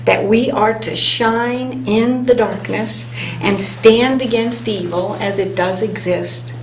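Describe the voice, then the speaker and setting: A woman on a hypnosis conference panel, speaking earnestly and reading an inspirational passage aloud, slowing down on key words. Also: medium pitch. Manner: earnest, inspirational, speeds up and slows for emphasis. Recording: roomy hall sound, faint hum.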